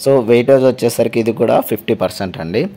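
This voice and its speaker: A man's voice: mid-range voice, rapid-fire, warm tone. A man hosting an informal explainer video, addressing viewers directly and politely. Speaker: host of an exam information channel.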